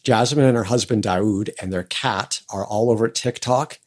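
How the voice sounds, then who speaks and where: Low-voiced man, dry and close. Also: moderate pace, dry recording. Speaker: podcast co-host. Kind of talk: conversational co-hosting and narration.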